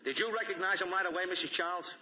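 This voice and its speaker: A businesslike police lieutenant with a very sharp, forceful voice. He talks fast and clipped in a 1940s radio mystery, with muffled, reverberant sound. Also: high-pitched, slight background noise. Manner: matter-of-fact, clipped.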